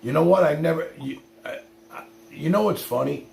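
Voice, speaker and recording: A man's voice: a little high, normal speed, creaky, darkish tone. A man hosting a livestream with casual, folksy, plain-spoken chat. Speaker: livestream host. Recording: roomy echo with faint noise.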